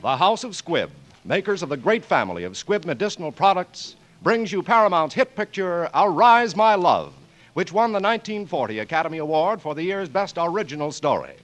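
A man's voice: high voice, fairly bright tone. A man with an American accent announcing a 1940s radio programme in a formal, declamatory style.

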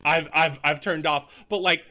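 A man's voice: a little high, fairly even intonation, unhurried, pushed and bright. A man giving opinionated takes in a casual movie discussion as a podcast panelist, swearing casually.

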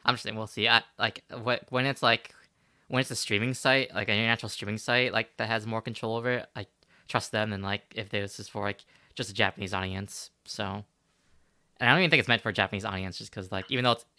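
Bright, dry-voiced man full of fillers. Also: lowish voice, steady tone, quick pace, dry recording. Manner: hesitant, many fillers and restarts. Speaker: podcast co-host. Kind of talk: casual chat.

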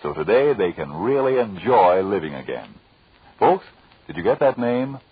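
Husky 1930s radio announcer man. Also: lowish voice, big pitch swings, moderate pace. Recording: muffled old 1930s radio recording.

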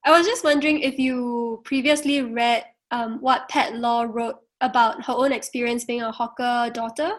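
A woman's voice: fairly high pitch, fairly even intonation, normal speed, hardly any pauses. A woman, asking friendly, rambling questions as an interviewer. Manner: friendly, rambling questions with "you know" and "right".

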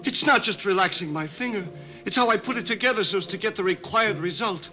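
An actor playing a deranged, rapturous bomber who sees himself as an artist, in a dreamy, halting, grandiose radio-drama manner. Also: male voice, high voice, close to an everyday voice. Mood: proud, rapturous. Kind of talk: old-time radio drama acting. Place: radio studio. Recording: muffled vintage radio recording, music behind. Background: music.

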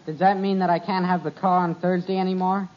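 An actress playing a protesting teenage son who makes excuses in a 1950s radio comedy, with a husky voice. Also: female voice, on the low side, flat delivery, fairly quick, lightly acted. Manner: whiny, protesting. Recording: dull old radio transcription.

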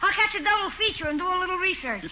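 Cheeky schoolboy nephew, very high animated voice, wisecracking, vintage radio comedy with heavy noise. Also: very fast. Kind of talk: 1940s radio comedy acting. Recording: very noisy, muffled 1940s radio.

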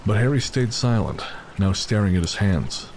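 A man narrating an audio drama in a measured, somber way. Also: on the low side, darkish tone, a little hiss or hum. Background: music.

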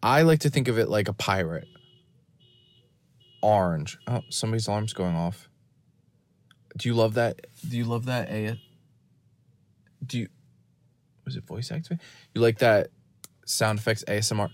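Deadpan man rambling into a phone. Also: on the low side, moderate pace, long pauses. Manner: deadpan, self-mocking running commentary. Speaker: podcast host. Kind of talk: rambling off-the-cuff monologue. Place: parked car in a store parking lot.

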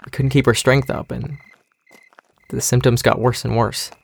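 A young man playing a weary, anxious but caring survivor in an audio drama, with a gravelly creak in his voice. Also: mid-range voice, little pitch movement, moderate pace. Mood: sorrowful. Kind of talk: naturalistic audio drama acting.

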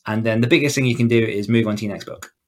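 Low-voiced man explaining as interview guest. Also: steady tone, very fast. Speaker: guest author and writing coach.

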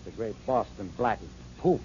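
A man playing a gruff police inspector. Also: fairly low pitch, animated, moderate pace, a little mellow, lightly acted. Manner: gruff, dismissive. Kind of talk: 1940s radio acting. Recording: muffled old radio broadcast.

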